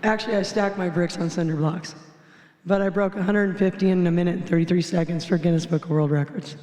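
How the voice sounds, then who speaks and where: A woman's voice: lowish voice, steady tone, fast talker, mellow. Matter-of-fact woman in an echoey room. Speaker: world-record brick breaker guest. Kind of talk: casual interview answers.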